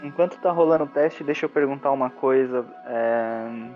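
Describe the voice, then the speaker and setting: A man's voice: mid-range voice, moderate pace. A Brazilian man talking casually as a player in a tabletop roleplaying game. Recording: dull lo-fi audio under music. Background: background music.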